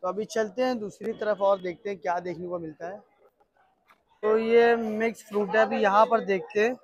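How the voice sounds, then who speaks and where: A man's voice: high-pitched, steady tone, moderate pace, dry recording, dull audio. A man with a Mumbai Hindi accent doing casual market-vlog commentary, chatty and repetitive, addressing viewers informally. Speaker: vlogger touring a wholesale fruit market. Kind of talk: casual vlog commentary, asking vendors prices. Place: large covered wholesale fruit market. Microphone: handheld phone.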